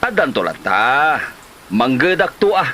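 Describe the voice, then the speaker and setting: A man's voice: mid-range voice, big pitch swings, measured pace, sharp tone. A man playing a shifty, irritable, broke husband in a comedy drama, with a Filipino accent and a dismissive manner. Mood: evasive, threatening. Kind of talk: Ilocano comedy drama acting. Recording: light noise, rain-like ambience.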